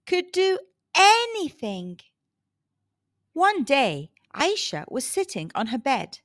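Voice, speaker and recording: High, animated woman telling children's stories. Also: no echo, clean studio sound. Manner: dramatic, deliberate emphasis. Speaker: children's storyteller. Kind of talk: scripted storybook narration for kids.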